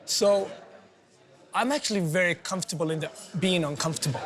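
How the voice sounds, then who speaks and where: High-voiced chef. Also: male voice, fairly quick, fairly bright tone. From Sweden. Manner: reflective, loose phrasing with "sort of". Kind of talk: casual interview talk.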